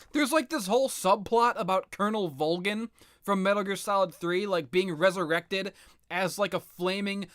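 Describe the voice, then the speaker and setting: A man with a slightly high voice rants off the cuff about video games. He is blunt and exasperated and swears freely. The sound is dry and close, with no room echo. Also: fairly bright tone. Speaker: video game commentator. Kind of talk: unscripted opinionated rant about video games.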